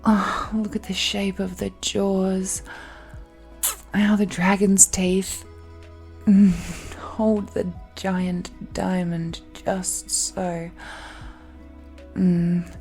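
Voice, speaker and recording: A woman playing a possessive, adoring dragon-blooded fiancée in an intimate romantic audio roleplay, halting and emotional, with sighs and murmurs. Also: mid-range voice, little pitch movement, soft background music. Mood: awed, delighted. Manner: breathy sighs, halting, emotional delivery with murmurs and soft laughs.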